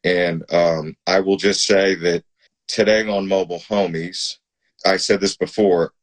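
A man's voice: sits low, steady tone, a little slow. A man hosting a casual live-stream interview, talking in an admiring, emphatic way. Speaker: host.

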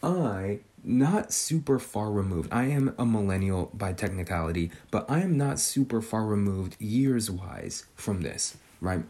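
Podcast host, man in his twenties, low mellow voice, loose speculative chatter, ends his lines with "right?". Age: 20s. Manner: tags nearly every sentence with "right?". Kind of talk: casual speculative monologue.

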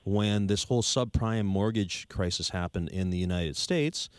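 A man's voice: on the low side, normal speed, smooth, dry recording. A man hosting a radio home show, upbeat and chatty, often jumping in with comments.

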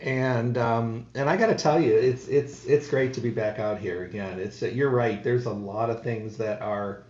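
A man's voice: medium pitch, normal speed, few pauses, darkish tone, echoey room, lo-fi sound. A man hosting a radio talk show, folksy and rambling with many restarts. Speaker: radio host. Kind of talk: casual radio chat.

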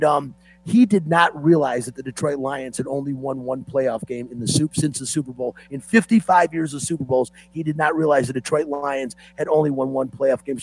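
Hoarse man, sports talk host, gravelly raspy voice, fairly high pitch, dry close sound. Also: dry recording, low-quality recording. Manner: animated, ranting, fan-like. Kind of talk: opinionated sports radio talk.

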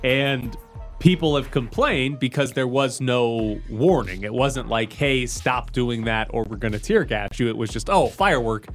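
A man co-hosting a sports chat show, reading out headlines and then riffing on them in a dry, easygoing way. His voice sits at a middle pitch, and faint music plays underneath. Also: measured pace. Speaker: sports talk show co-host. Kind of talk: casual sports talk.

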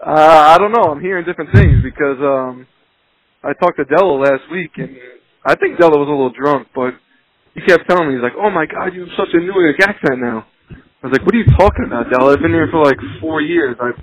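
A man's voice: a little high, brisk, low-quality recording. A man chatting as a podcast guest in a blunt, combative, swearing style of friendly ribbing. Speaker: podcast guest, police officer. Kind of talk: profane bantering phone chat.

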